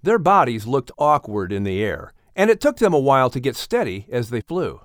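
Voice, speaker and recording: A man's voice: mid-range voice, very animated, normal speed, dry recording, studio quality. A man reading scripted storybook narration expressively, as an audiobook narrator and announcer.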